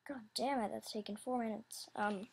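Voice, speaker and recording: A woman giving a casual, unscripted announcement as an animation creator, halting and scatterbrained, often interrupting herself, in a husky, breathy voice. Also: on the high side, steady tone, background noise.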